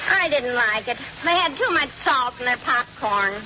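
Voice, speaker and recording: An eager, simple-minded female sidekick played by an actress chatters excitably in a high voice with wide swings. It is 1940s radio comedy on a muffled, very noisy recording. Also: normal speed, lightly acted. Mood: curious, naive.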